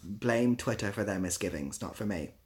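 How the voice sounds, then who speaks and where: A man's voice: fairly low pitch, expressive, normal speed, darkish tone. A young British man chatting casually on a livestream, rambling a little and dryly playful as he responds to viewers. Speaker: live streamer. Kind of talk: casual livestream chat, answering viewer comments.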